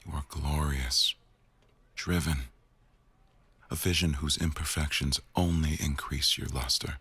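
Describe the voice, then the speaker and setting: A man acting an attentive, tender boss in an intimate boyfriend ASMR roleplay, murmuring slowly to an employee in a gravelly voice. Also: fairly even intonation, bright, forceful tone, dry recording, heavily acted. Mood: reassuring, adoring. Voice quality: very deep, gravelly.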